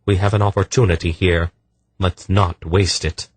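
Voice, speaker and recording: A man's voice: sits low, level tone, normal speed, husky, dry recording, pitched above the performer's own voice. A man voicing a stern sorceress in a fantasy audiobook, cold, imperious and sure of her power. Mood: cool, dry.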